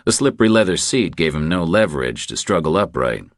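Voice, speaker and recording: A man's voice: low voice, sing-song, a little fast, dry recording, clean studio sound. A man narrating a crime thriller audiobook from a script.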